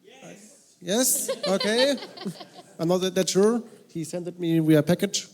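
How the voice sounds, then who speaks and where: A man's voice: high-pitched, slow. A man in a convention audience answering a question, hesitant and unsure. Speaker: audience member.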